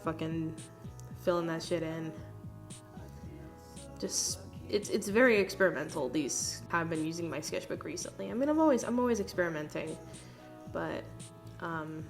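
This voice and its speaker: A young woman with a low voice that sounds stuffed up and nasal, as if she has a cold. She rambles through a casual voice-over, full of fillers and cursing. Faint music plays under her phone-recorded voice. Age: young adult. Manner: rambling, chatty, lots of fillers and swearing. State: congested, nasal. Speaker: art vlogger.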